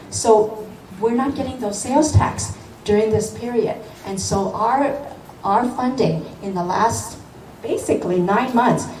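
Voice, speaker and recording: A woman's voice: mid-range voice, darkish tone, recorded from a distance. A middle-aged woman, a city council member, gives an earnest campaign speech full of fillers and restarts. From born in Hong Kong, grew up in Los Angeles. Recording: echoey room, voice from afar, noisy.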